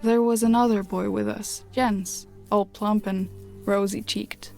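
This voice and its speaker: A woman voicing a wry captive child in a fairy-tale game, deadpan and resigned. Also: medium pitch, measured pace, creaky, faint music behind. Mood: matter-of-fact gloom.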